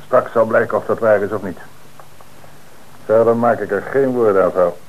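An actor playing a calm, methodical police commissioner in an old radio play, speaking in a measured, firm way. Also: male voice, on the low side, narrow, tinny audio, lightly acted. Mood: stern. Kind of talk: old Dutch radio drama acting.

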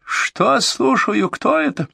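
A raging woman caller screams insults and threats down a phone line, as a male reader puts her on in a strained high voice. Her tone is indignant and abusive. The gravelly texture of the reader's voice stays under it, in a dry close recording. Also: moderate pace, dry recording. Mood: shrieking. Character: furious shrieking asthmatic woman caller.

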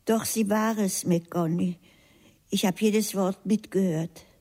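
An actress playing a wistful runaway lady in a German radio play, gentle, deliberate and confiding, in a reverberant sound. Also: medium pitch, fairly even intonation. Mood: knowing, resigned. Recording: long hall-like reverb.

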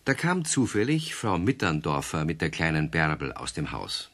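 A man narrating a children's radio play in a calm storytelling cadence. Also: extremely low pitch, moderate pace.

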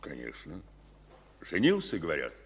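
An actor playing a gruff but fatherly Soviet general in a war radio drama, speaking haltingly and with emotion. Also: rumbling low, wildly swooping pitch, in a large hall, full character voice. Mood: quiet, fatherly.

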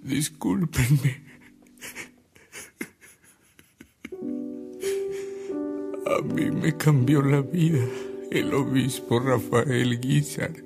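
A man's voice: medium pitch, steady tone, takes it slowly, gravelly. An actor playing a tired, devoted man reminiscing with solemn emotion in a radio drama. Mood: moved, sorrowful. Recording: music behind the voice.

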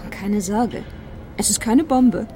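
A woman's voice: medium pitch, takes it slowly, music in the background, lightly acted. A woman playing a flirty, hesitant flight attendant. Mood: coaxing.

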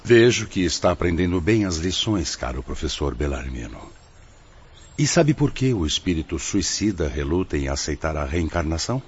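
An actor playing a wise, solemn spirit teacher in a radio drama, speaking in a didactic, oratorical way. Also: male voice, sits low, darkish tone, husky, heavily acted. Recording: dull, muffled radio drama recording.

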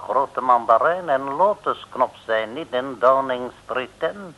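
Slow, mock-humble henchman in pidgin Dutch. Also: medium pitch. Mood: mock-courteous. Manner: broken pidgin Dutch, ceremonious flattery. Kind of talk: 1960s comic radio serial acting. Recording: dull old radio recording.

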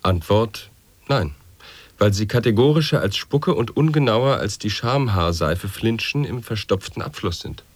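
A man's voice: fairly low pitch, animated, measured pace, dry recording. An actor playing an absurdly pedantic man, declaiming wordplay and grotesque nonsense in an avant-garde radio play.

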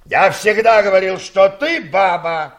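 An actor playing a feisty, boastful, bossy old engineer of sixty-two in an audio play, speaking emphatically. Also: male voice, high-pitched, expressive, unhurried. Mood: bossy, scheming. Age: older. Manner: emphatic, domineering, theatrical. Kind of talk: Soviet audio play acting.

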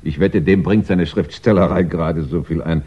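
An actor playing a sceptical, husky-voiced detective reasoning through a case in a 1960s German radio play. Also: male voice, low voice, normal speed, dark tone. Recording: dull 1960s radio recording.

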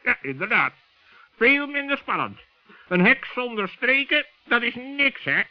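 A man's voice: very high voice, unhurried, no echo, full character voice. A man playing a chatty, suspicious, blundering male raven in a children's radio play. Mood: sceptical. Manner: blurting, excitable, suspicious. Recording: dull, dry old radio recording.

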